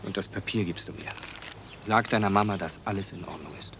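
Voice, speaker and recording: An actor playing a kindly village doctor in a radio drama, speaking gently and soothingly. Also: male voice, deep voice, brisk, noisy background, lightly acted. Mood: soothing, regretful. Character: kindly adult male village doctor calming a child. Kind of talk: hushed German radio drama acting.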